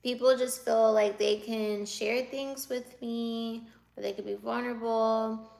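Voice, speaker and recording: A woman's voice: fairly high pitch, steady tone, unhurried, gravelly, a little mellow, poor audio. A woman in her twenties chatting on a livestream, teasing and laughing as she answers viewer comments aloud. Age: 20s. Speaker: livestreamer reading chat. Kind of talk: casual live chat.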